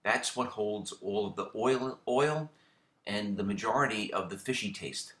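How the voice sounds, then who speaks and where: Bright-voiced man with lots of fillers. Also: on the low side, measured pace, reverberant. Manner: relaxed, with frequent fillers and repeated words. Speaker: fishing channel host. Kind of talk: casual how-to narration.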